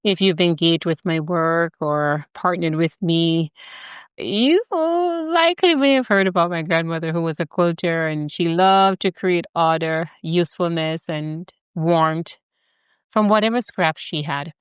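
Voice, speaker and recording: A middle-aged woman from Jamaica with a Jamaican accent, hosting a podcast introduction in a measured, deliberate way, with rhythmic lists of words. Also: fairly low pitch, smooth, no echo, muffled recording. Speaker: podcast host.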